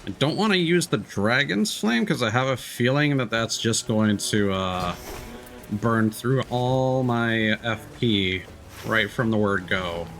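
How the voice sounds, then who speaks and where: A man's voice: medium pitch, slow pace, fairly bright tone. A man who streams video games, giving casual, sweary live commentary as he talks to himself and the game. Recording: game music behind the voice. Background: game music.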